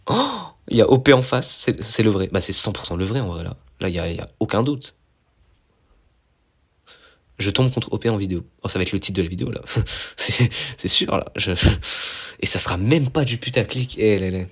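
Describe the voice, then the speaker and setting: A young man with a cold gives fast, slangy commentary over a video game, quick to react and to laugh at his own mistakes. His voice sits a little low and sounds husky and stuffed up. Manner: excitable, self-mocking, slangy. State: has a cold, nasal voice. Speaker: gaming YouTuber. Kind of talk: casual gameplay commentary.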